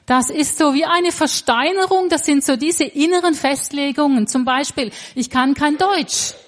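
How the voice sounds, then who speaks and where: High-voiced woman preaching in short bursts. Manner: short phrases paused for translation, lively. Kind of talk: sermon with live interpreter.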